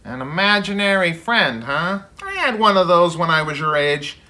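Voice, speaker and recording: A man's voice: a little high, sing-song, moderate pace, pushed and bright, pitched above the performer's own voice. A man voicing a boy's skeptical father in a picture book, dry and emphatic, easily exasperated. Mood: dismissive.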